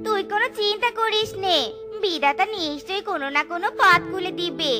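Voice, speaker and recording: Woman playing a high-pitched, dramatic village mother. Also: brisk. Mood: resolute, reassuring. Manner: dramatic, emphatic. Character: steadfast elder village widow and devoted, emotional mother. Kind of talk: children's cartoon voice acting. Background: children's music.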